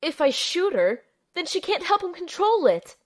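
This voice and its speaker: A woman's voice: very high voice, moderate pace. A woman playing an earnest, determined young operative, telling and acting out her mission expressively in an audio drama. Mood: urgent. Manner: expressive, storytelling. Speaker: narrator and lead character.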